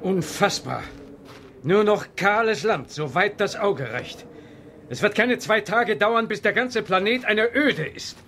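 A kindly older scientist explains things thoughtfully in a fairly high male voice. The delivery is measured radio-play acting, with noisy sound effects behind. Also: bright, forceful tone, heavily acted. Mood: dismayed. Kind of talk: German audio drama acting.

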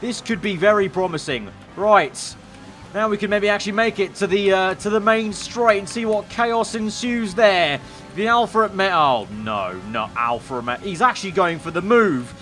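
A young man commentating a racing game, excitable and slightly hoarse, breaking into shouts at crashes. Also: sits high, normal speed, faint music behind. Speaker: gaming YouTuber.

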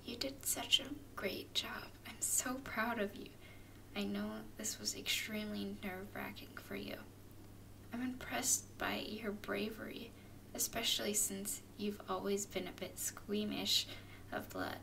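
A woman playing a tender, doting vampire girlfriend in a soothing ASMR roleplay, mostly in breathy whispers. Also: medium pitch, steady tone, distant mic, low-quality recording. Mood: caring, proud. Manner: soothing, affectionate, unhurried. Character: tender, doting female vampire girlfriend comforting her nervous partner.

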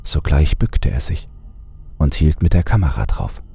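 A man reading a scripted horror story as narrator, measured and suspenseful. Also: very deep voice, very animated, vocal fry, dark tone, breathy edge. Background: music.

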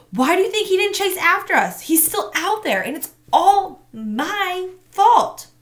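A woman's voice: high voice, expressive, normal speed, bright, forceful tone, voice pushed up, exaggerated voice. A woman reading aloud, voicing a frightened schoolgirl who pleads urgently and rises to screams. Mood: distressed.

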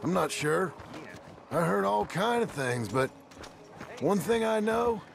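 Gravelly Western outlaw over music. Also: male voice, sits high, big pitch swings, takes it slowly, heavily acted. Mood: brooding. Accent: American Western drawl. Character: rugged, weary male American outlaw, gruff and sardonic. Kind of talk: video game acting.

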